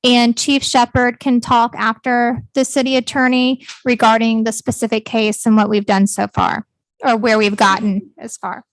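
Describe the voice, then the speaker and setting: A woman chairs a city commission meeting, her voice a bit high and held to a flat, even line. The sound is dry and close, and her delivery is steady and businesslike. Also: moderate pace, dry recording, poor audio.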